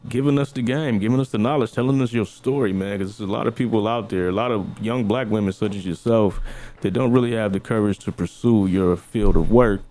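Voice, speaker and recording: A man hosting a casual interview, relaxed and slangy, cursing casually. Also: on the low side, brisk, a little hiss or hum. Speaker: podcast host.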